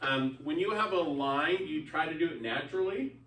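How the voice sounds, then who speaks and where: A man's voice: fairly high pitch, normal speed, bright, forceful tone. A man teaching a small reading group informally, patiently coaxing and giving instructions in a classroom. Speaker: teacher leading a reading lesson. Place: echoey classroom with children. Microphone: distant microphone. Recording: echoey, distant, noisy classroom recording.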